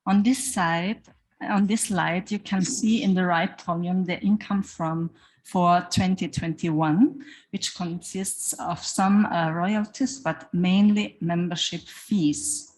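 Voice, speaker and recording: German-native woman treasurer, lower voice, measured formal report delivery. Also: steady tone, a little mellow, poor audio. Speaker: association treasurer.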